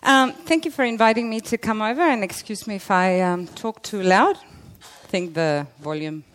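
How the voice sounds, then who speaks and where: A middle-aged woman with a loud voice giving a conference presentation, hesitant, with many fillers and restarts. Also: mid-range voice, normal speed. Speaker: conference speaker, library interior designer.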